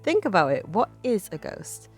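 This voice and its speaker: Woman acting a coaxing, concerned mother, raised pitch. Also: very animated, normal speed, breathy edge, soft background music. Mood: dismissive, reassuring. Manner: coaxing, questioning. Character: concerned mother of a small boy, coaxing and incredulous. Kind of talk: acted dialogue in a narrated horror story.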